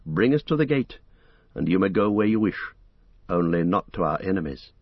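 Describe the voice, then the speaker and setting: A man doing a calm, kind young leader. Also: sits low, moderate pace, dry recording. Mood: earnest. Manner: calm, earnest. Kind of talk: audiobook character voice. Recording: dull lo-fi recording.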